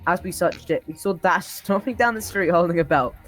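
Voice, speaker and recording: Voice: medium pitch, fairly quick, fairly bright tone, faint music behind, rough recording. A person reading a comic tall tale as an old-timer recalling a harsh childhood, often stumbling and breaking into laughter.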